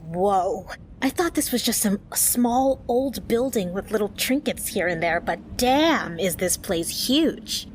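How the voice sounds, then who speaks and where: A woman's voice: medium pitch, expressive, takes it slowly, slight background noise. A woman playing a brash, crude, tomboyish young woman in an audio drama, blunt and cheeky. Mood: amazed.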